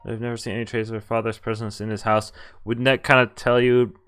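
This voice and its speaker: A man's voice: mid-range voice, little pitch movement, quick pace, a bit bright, clean tone. A man streaming a visual novel, reading its text aloud with casual commentary over game music. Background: game background music.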